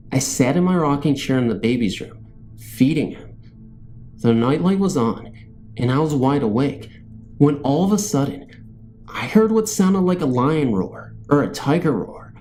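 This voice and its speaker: A man with a dark, mellow voice reads a scary story as a narrator. His pitch sits in the middle of the male range, and faint music plays behind him.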